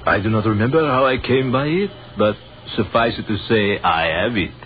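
An actor playing a courteous, philosophical French army captain in a 1930s radio drama, with a French accent and formal, courtly phrasing. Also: male voice, fairly low pitch, normal speed, close to an everyday voice. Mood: courtly, sentimental. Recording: dull, noisy 1930s radio recording.

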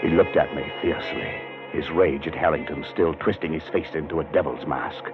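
An actor playing a haunted, anxious Englishman who tells his own ordeal in a measured, suspenseful 1940s radio style. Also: extremely low pitch, fairly even intonation. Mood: tense. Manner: measured, suspenseful first-person storytelling. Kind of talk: 1940s radio drama acting. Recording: muffled 1940s radio recording with music.

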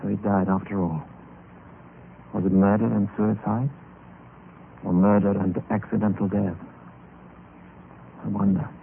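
A man acting as a grave gentleman narrator in an old radio mystery, telling the tale in a measured, dramatic way. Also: fairly low pitch, little pitch movement, vocal fry. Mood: sober. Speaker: narrator and lead character. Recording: muffled, noisy old radio recording.

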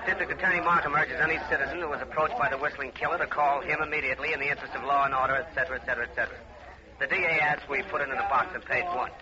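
Guy doing jaded old-time reporter, super fast offhand talk, highish voice, muffled radio. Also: steady tone, close to an everyday voice. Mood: brisk, offhand. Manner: rattled-off, offhand delivery. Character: brisk, jaded male newspaper reporter. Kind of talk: 1950s radio drama acting. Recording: muffled old radio recording, background chatter.

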